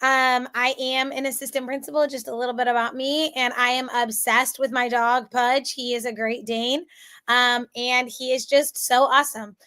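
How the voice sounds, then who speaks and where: A woman's voice: high voice, little pitch movement, normal speed, bright, forceful tone. An American woman teaching an online class in a casual, bubbly, rambling way, her voice strained by a cold. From central Florida, United States. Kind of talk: casual chatty live lesson.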